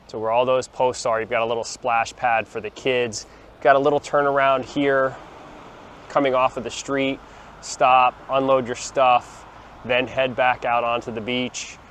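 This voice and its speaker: A man's voice: medium pitch, a little hiss or hum. A man in his forties giving a chatty walking tour to his phone camera outside by the beach, with plenty of ums and restarts. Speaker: vlogger showing a beach town. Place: outdoors, sunny beachfront plaza and beach. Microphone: phone held at arm's length, small clip-on mic.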